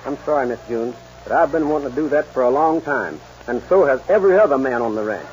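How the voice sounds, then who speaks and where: An actor playing a bold young ranch hand, polite to ladies, in a 1940s radio western. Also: male voice, medium pitch, animated, fast talker, a little mellow, natural voice. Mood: defiant. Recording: dull, noisy old radio recording.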